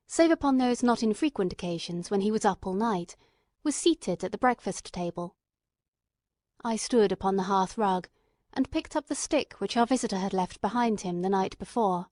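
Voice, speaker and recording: A woman's voice: medium pitch, a little mellow, dry recording, studio quality, close to an everyday voice. A woman playing an earnest, eager male doctor and companion who narrates a detective audiobook in careful, literate storytelling.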